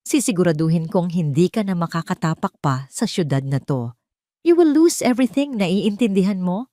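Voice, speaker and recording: A woman's voice: fairly low pitch, sing-song, normal speed, dark tone, no room sound, studio quality. A woman with a Filipino accent playing a cold, proud young billionaire man who speaks tersely and with menace in an audio drama. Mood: cold, threatening.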